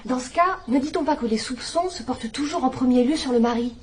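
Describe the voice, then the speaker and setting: An actress playing a fussy, patronizing live-in companion in a dubbed drama, with a gossipy, condescending manner. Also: female voice, high-pitched, fairly quick, a little hiss or hum, natural voice. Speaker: companion and housekeeper.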